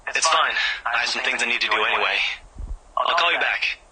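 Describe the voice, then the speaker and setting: A man's voice: medium pitch, brisk, very noisy. A man voicing a curt, reserved but caring young man in a visual novel, through a phone call effect. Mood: curt, concerned.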